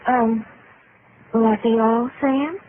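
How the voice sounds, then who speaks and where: A woman's voice: on the high side, steady tone. An actress playing a gushing, girlish, money-minded young office worker in a 1950s radio drama. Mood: casual. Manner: girlish, gushing, halting when sentimental. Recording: tinny old-time radio with faint noise.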